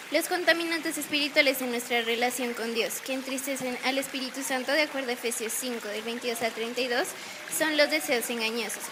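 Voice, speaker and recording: A woman reading a scripted devotional narration. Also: high voice. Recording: clear background noise.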